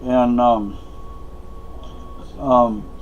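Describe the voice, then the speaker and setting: A man's voice: medium pitch, steady tone, extremely slow, highs cut off. A man from Spain, a native Spanish speaker, giving a rambling, opinionated monologue with many fillers and restarts. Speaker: vlogger.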